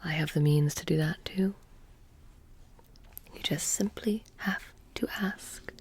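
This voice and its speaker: A low, breathy witch, played by a woman, keeps a haunted shop in this soft-spoken ASMR roleplay. She talks very slowly and coaxingly, slipping in and out of whispers with a sly, mysterious air. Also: heavily acted. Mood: probing, coaxing. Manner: slow, hushed, slipping in and out of whispers.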